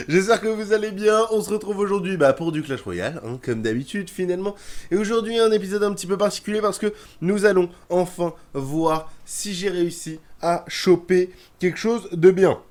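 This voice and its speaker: A man's voice: high-pitched, normal speed. Stuffy-nosed young man narrating gameplay. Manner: chatty, grumbles and swears when frustrated. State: has a head cold. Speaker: gaming youtuber. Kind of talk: casual gameplay commentary.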